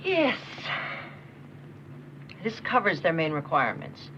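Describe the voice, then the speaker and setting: An actress playing a confident, assured sales manager, in a 1980s training film. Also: female voice, sits low, very animated, takes it slowly, exaggerated voice. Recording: muffled film soundtrack with hiss.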